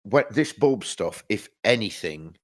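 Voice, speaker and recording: A man with a low, deep voice, stuffed up from hay fever and chatting loosely as a podcast co-host. He wanders through his points, restarting sentences and swearing casually. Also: expressive, measured pace. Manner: rambling, swears freely, many restarts. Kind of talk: casual chat.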